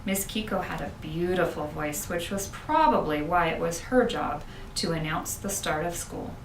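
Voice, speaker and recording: Female storyteller for a kids' chapter book, expressive read, recorded roomy and away from the mic. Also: on the low side, moderate pace. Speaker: school principal reading aloud. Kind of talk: children's audiobook narration. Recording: roomy, distant, noisy home-style recording.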